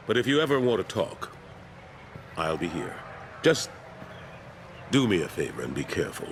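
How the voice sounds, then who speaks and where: An actor voicing a weary, principled older military councilor in a video game, speaking in a measured, earnest way. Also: male voice, deep voice, big pitch swings, background noise. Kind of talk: video game dialogue acting.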